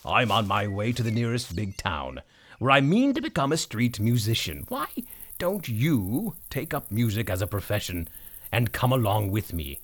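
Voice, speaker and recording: A man's voice: lowish voice, very animated, normal speed. A man voicing a friendly, persuasive old male donkey in a storybook tale. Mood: genial, encouraging.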